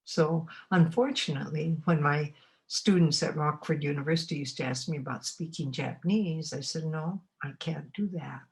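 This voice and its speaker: A woman in her eighties from Montana, recalling her life in an oral history interview. She talks unhurriedly and reflectively, often pausing to find her words. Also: deep voice. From Montana, United States. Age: 80s.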